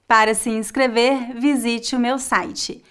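A woman with a Brazilian accent teaching a Portuguese lesson in a slow, clear, scripted way. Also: fairly high pitch, steady tone. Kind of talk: scripted online language lesson.

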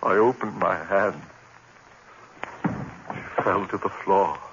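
A man's voice: sits low, slow. An actor playing a haunted lecturer who narrates his own descent into madness in an intense, brooding tone, in 1940s radio acting style. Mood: haunted narration. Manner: intense, brooding, breaking into frantic outbursts. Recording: muffled old radio broadcast with hiss.

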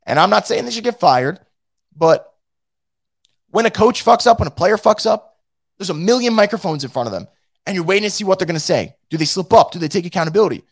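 A hoarse man who is losing his voice hosts a casual fan show and reads out the live chat, blunt and profane, with many restarts. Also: sits high, animated, fast talker, dry recording, clean studio sound. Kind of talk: casual post-game rant.

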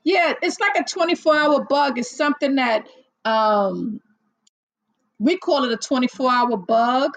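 A middle-aged woman hosting a casual livestream chat, rambling and chatty with lots of fillers and laughs, a little hoarse as she loses her voice. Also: sits high, lively intonation, measured pace, no echo. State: losing her voice, slightly hoarse. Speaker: livestream host. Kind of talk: casual chat with live viewers.

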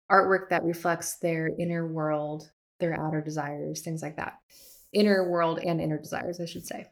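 A woman hosting an art business podcast, talking casually to listeners with self-deprecating humor and upbeat emphasis. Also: on the low side. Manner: chatty, laughs at herself, upbeat emphasis. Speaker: podcast host and art educator. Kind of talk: casual teaching monologue.

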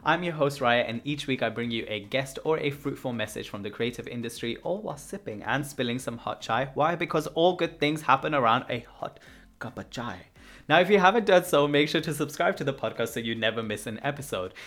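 Upbeat podcast host guy, bouncy sing-song intro voice, mid pitch. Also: animated, brisk, fairly bright tone. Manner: upbeat, bouncy delivery with big swings in pitch. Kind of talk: podcast intro and interview chat.

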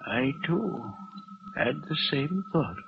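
A man's voice: a little high, very animated, slow pace, gravelly, narrow, tinny audio, exaggerated voice. An actor playing a brooding, guilt-haunted old railway man in 1940s radio horror, on a noisy old recording.

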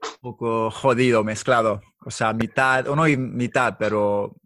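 A young English man hosting a podcast, chatting casually in learner's Spanish with a relaxed, slangy, teasing manner. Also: mid-range voice, measured pace, no room sound, clean studio sound. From England. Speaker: podcast host.

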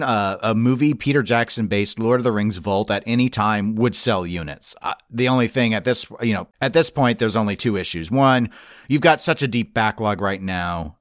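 A man's voice: fairly low pitch, no echo, studio quality. A man co-hosting a podcast, giving opinions in casual chat with wry joking asides. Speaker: podcast co-host.

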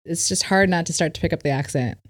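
A woman on a podcast as a guest, explaining breathwork calmly in casual conversation, with easy laughter. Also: lowish voice, fast talker. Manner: calm, explanatory, laughs easily.

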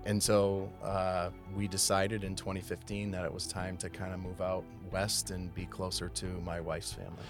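A man in his forties from Massachusetts, a city services manager giving an unhurried, detailed interview with frequent pauses. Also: low voice, completely flat delivery, over music. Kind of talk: casual interview conversation.

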